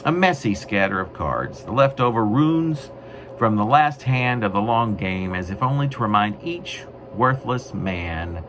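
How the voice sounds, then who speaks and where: A man reading his own writing as a poet, with deliberate, emphatic phrasing. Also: fairly low pitch, lively intonation, fairly bright tone, a little hiss or hum. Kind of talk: dramatic poetry reading with casual spoken introduction.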